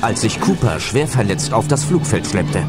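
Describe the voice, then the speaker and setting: A man's voice: lowish voice, big pitch swings, normal speed. A man reading scripted narration for a German audio drama. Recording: loud music under the voice.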